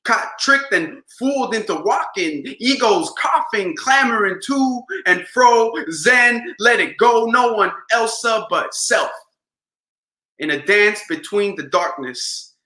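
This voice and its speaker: High bright-voiced man performing slam poetry. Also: echoey room. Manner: rhythmic, dramatic delivery with shifting pace. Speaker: spoken word poet.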